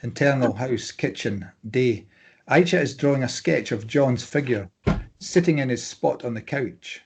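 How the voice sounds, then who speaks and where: A man's voice: mid-range voice, measured pace, vocal fry, a little mellow, breathy edge. A man reading stage directions as scripted narration at a drama table read.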